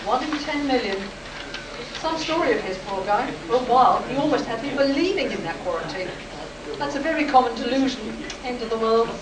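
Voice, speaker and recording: A woman's voice: fairly high pitch, animated, fairly quick, crowd noise, natural voice. A woman playing a composed, sceptical air base medic in a live sci-fi radio play. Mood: reflective, puzzled. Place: live convention performance, recorded from the room. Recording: echoey, distant, noisy room recording.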